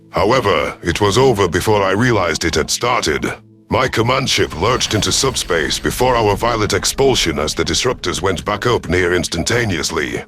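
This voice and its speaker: A man narrates a science fiction story in the first person. His voice sits on the low side, and he reads from a script as a storyteller. Soft music runs underneath. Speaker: narrator of sci-fi audio stories. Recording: music behind the voice.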